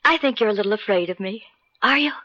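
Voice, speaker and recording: A woman's voice: medium pitch, animated, fast talker, fairly bright tone. An actress playing a flirtatious, self-assured married woman in a radio drama, with a coy, teasing, intimate manner. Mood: teasing, seductive. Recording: dull vintage radio recording.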